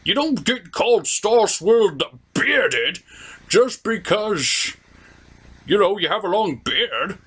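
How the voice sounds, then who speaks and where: Male performer as cranky old wizard pony, husky and high, wildly swooping pitch, boastful, foul-mouthed, comedy puppet show. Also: very animated, slow pace, fairly bright tone, exaggerated voice. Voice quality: raspy.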